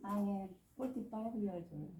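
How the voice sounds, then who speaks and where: A woman with a Korean accent, an adult learner reciting a memorized English dialogue haltingly, with many fillers. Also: mid-range voice, creaky, mellow. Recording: echoey room, faint noise.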